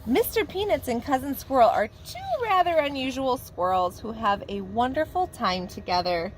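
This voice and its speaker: Expressive woman reading to preschoolers. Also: a little high, moderate pace, slight background noise, low-quality recording. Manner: warm, expressive storytelling. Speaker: preschool teacher. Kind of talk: picture-book read-aloud for young children.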